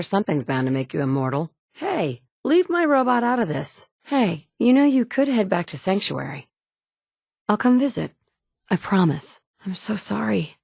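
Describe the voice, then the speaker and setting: A woman's voice: on the low side, creaky, a little mellow, no room sound, exaggerated voice. An actress voicing a wry woman survivor from before the war, blunt and sardonic with caring moments, in game dialogue recorded on a studio mic. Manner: dry and sardonic, shifting between blunt and caring. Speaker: player character.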